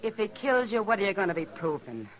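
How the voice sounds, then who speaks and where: A woman's voice: mid-range voice, very animated, fast, natural voice, rough recording. An actress playing a caring, worldly saloon woman in a radio western, earnest and plain-spoken, pleading yet resigned. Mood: pleading, concerned. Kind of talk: old-time radio western acting.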